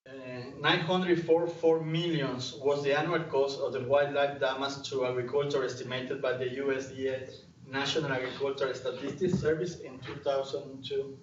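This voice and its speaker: A man's voice: mid-range voice, fairly even intonation, normal speed, a little hiss or hum. Ecuadorian-accented man lecturing in echoey hall. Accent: Latin American Spanish. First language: Spanish. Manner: hesitant, frequent uh and restarts. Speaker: student researcher presenting. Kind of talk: conference presentation. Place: lecture room. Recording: distant mic, roomy hall, dull sound.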